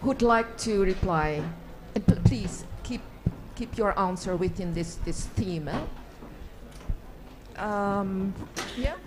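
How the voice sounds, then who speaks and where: A woman moderating a panel, giving the floor and urging speakers to keep things short. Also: mid-range voice. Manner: brisk, keeping time.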